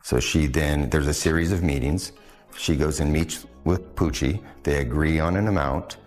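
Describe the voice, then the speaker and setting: A man's voice: very deep voice, moderate pace, darkish tone, soft background music. A man giving a plain-spoken investigator's account in an interview.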